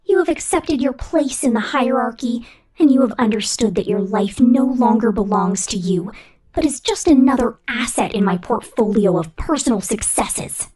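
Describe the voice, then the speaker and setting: A woman's voice: fairly high pitch, animated, darkish tone, husky, low-quality recording. Woman acting cruel, domineering robot boss, close ASMR. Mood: coldly gloating. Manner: commanding, corporate-pompous, deliberate. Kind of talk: ASMR roleplay monologue.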